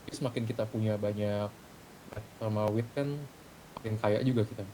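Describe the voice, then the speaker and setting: A man's voice: level tone, fairly quick, dark tone, rough recording. A young man with a colloquial Jakarta Indonesian accent, talking bluntly and casually in online game voice chat. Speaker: GTA roleplay gamer in a group meeting. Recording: gaming mic with faint low rumble.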